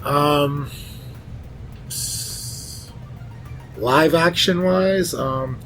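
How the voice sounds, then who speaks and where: A man with a notably high voice talks casually as a fan on a panel. He is animated and often repeats a phrase to stress it. Faint music plays behind him. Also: very slow, poor audio. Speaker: panelist, video game fan. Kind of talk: casual chat.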